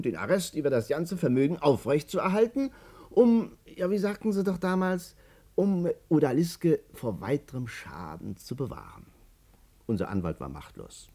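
A man's voice: medium pitch, darkish tone. An actor playing an embittered man recounting his ruin in a 1950s German radio drama, emphatic and rhetorical.

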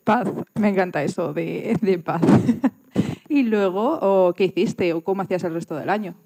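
Mellow-voiced Spanish woman interviewer. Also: lowish voice, moderate pace. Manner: informal, encouraging. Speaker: radio interviewer. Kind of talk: casual conversational interview.

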